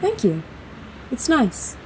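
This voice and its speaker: Young royal woman, radio-play heroine voiced by an actress, mellow tone, earnest and self-doubting. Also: sits high, huge pitch swings, slow, slight background noise, full character voice, rough recording. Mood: quietly touched. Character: young princess, formal, grieving, self-doubting. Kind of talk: audio drama acting.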